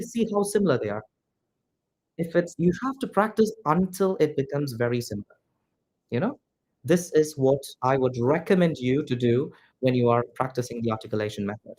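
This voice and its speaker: Man, from India, native Malayalam speaker, English teacher, direct and repetitive, slightly high voice. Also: darkish tone. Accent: Indian, neutralized English. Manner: direct, repetitive, addresses students as "guys". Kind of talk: classroom instruction to students.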